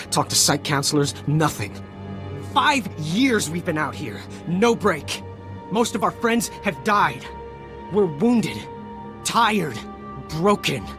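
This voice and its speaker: Weary deserter soldier, slightly high voice, stammering, nervous, desperate, video game drama, prominent music underneath. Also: male voice.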